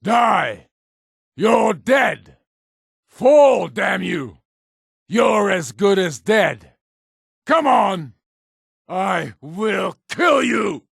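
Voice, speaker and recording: An actor playing a proud, commanding Nord rebel leader in a fantasy video game, with a grave, authoritative manner. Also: male voice, sits high, big pitch swings, very slow, creaky, pauses often, a bit bright, slightly rough, dry recording, raised voice, studio quality, exaggerated voice. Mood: aggressive, shouting.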